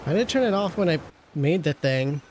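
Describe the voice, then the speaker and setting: A woman's voice: low-pitched, lively intonation, normal speed, a little mellow, a little hiss or hum, low-quality recording. A woman streaming a game, chatting casually with offhand, playful asides. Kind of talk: casual livestream chat. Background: faint game music.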